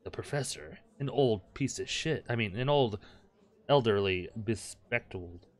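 A man's voice: medium pitch, expressive, unhurried. A man hosting a gaming video, reading a visual novel aloud with crude, jokey asides. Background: game music.

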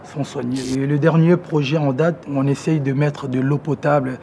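A man in his thirties from Congo with a Congolese French accent, talking casually to camera, relaxed and hesitant with many fillers. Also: medium pitch, normal speed, creaky, a little hiss or hum. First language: Lingala. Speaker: musician presenting his own interests. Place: outdoor garden terrace among plants. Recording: music playing under the voice.

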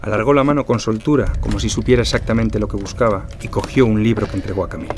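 Quick, low-voiced male crime narrator. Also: steady tone, background noise. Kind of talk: scripted crime fiction narration.

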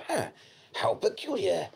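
A man playing a cocky, bumbling feudal soldier on a quest in a live comedy stage play, halting and theatrical. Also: high-pitched, wildly swooping pitch, gravelly, breathy edge, exaggerated voice. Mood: hammy, puzzled. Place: small theatre stage with an audience. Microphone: handheld or stand stage microphone.

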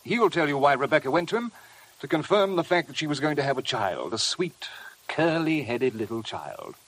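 A man's voice: on the high side, very animated, normal speed, a bit bright, slightly rough, full character voice, low-quality recording. An actor in a 1950s radio drama playing a smug, sly male blackmailer with a taunting, insistent manner. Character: sly, mocking male blackmailer, smugly needling.

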